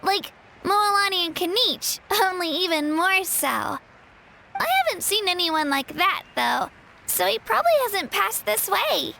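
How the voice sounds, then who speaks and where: A woman's voice: very high voice, unhurried, gravelly, pushed and bright, a little hiss or hum, full character voice. A woman playing an earnest, eager young girl contestant in a video game. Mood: earnest, upbeat. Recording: music under the voice.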